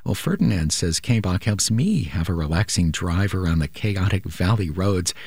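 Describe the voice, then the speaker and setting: A man's voice: deep voice, lively intonation, normal speed, a little mellow, husky, dry recording. A male radio host making an earnest, persuasive appeal during a pledge drive.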